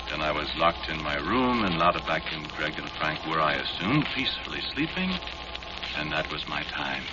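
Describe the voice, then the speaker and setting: Brief: a sardonic drinking doctor narrating, deep and lively, 1940s radio hiss. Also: male voice. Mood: measured. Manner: dry, sardonic, measured. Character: wry male inventor doctor, drinking, wary. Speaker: narrator and lead character. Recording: dull lo-fi 1940s radio recording with loud hiss.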